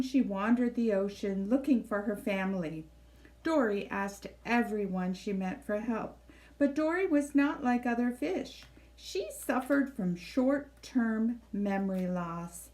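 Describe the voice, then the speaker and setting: Higher-voiced woman reading a children's storybook. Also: darkish tone, low-quality recording. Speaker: library storybook reader. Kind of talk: expressive scripted read-aloud narration for children.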